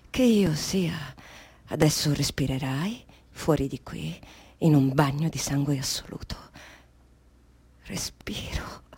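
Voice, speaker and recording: An actress performing an intense radio drama monologue as an anguished girl being born, breathy and husky, moving between whispers and cries. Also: low-pitched, dry recording, full character voice. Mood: frightened, pleading. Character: anguished unborn girl being born, commanding then pleading.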